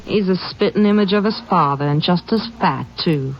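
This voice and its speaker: A woman's voice: lowish voice, normal speed, lightly acted. An actress playing a talkative, gossipy young rural woman. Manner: chatty, folksy gossip. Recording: dull old radio broadcast with faint hiss.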